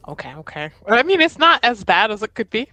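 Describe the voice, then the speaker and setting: A tabletop roleplaying player improvising casually in character, halting at times and easily given to giggles. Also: mid-range voice, big pitch swings, sharp tone, no room sound. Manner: giggly, breaks into laughter.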